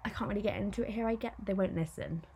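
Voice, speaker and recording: A woman's voice: mid-range voice, racing, warm tone, breathy edge. A young woman in her twenties co-hosting a casual podcast, rambling and laughing often. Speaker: podcast co-host. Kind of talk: casual chat.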